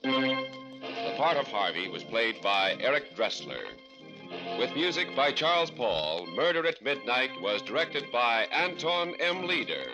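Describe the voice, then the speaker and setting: A man's voice: medium pitch, big pitch swings, a little slow, over loud music, rough recording. A man announcing a 1940s radio horror show in an ominous, theatrical scripted style.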